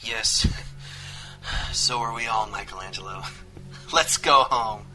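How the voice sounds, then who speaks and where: A man playing a heroic, honorable young turtle ninja leader with an earnest, declamatory, deliberate delivery in a fan-made voice comic. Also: lowish voice, over music, poor audio. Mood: solemn.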